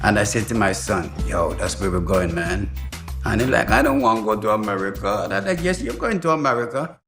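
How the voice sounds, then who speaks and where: A man's voice: on the low side, lively intonation, a little fast, over music. An older man, a father telling stories casually and quoting conversations as he goes. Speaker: father interviewed about his son. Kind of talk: casual storytelling with quoted dialogue.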